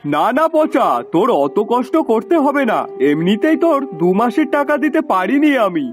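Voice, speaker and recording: A weary, kindly old cartoon master in poor health, acted by a man. His voice is very high and wavers widely in pitch, fatherly and plaintive, over soft music. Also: sing-song, normal speed. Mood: weary, yielding. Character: ailing elderly master, kindly, weary, slightly grumbling. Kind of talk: cartoon voice acting.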